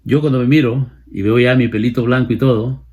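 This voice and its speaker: Husky Salvadoran man preaching. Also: medium pitch, steady tone, brisk, darkish tone, muffled recording. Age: late 50s. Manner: repeats phrases for emphasis. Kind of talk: sermon, Bible exposition.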